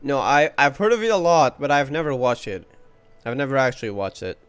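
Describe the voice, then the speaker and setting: Clear-voiced man doing casual game commentary. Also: medium pitch, lively intonation, fairly quick, fairly bright tone, faint music behind. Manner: teasing, talks back to the game characters. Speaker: streamer. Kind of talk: casual playthrough commentary, reacting aloud and chatting with viewers.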